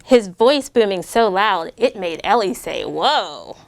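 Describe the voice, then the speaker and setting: A middle-aged American woman, a military officer, reading a children's picture book aloud with lively, animated delivery and voiced character lines. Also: medium pitch, slow pace, no echo. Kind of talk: storybook read-aloud.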